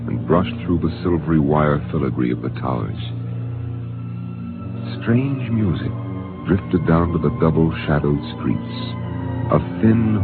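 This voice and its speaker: A man narrating a 1950s radio drama in a measured, atmospheric storytelling manner. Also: very deep voice. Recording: narrow-band vintage radio with music underneath.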